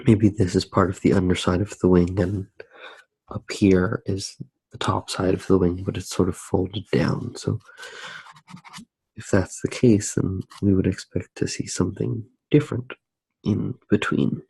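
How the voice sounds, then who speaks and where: A soft-spoken man musing hesitantly through a drawing demonstration in ASMR style. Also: deep voice, flat delivery, unhurried, creaky, husky, no room sound, poor audio. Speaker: ASMR artist.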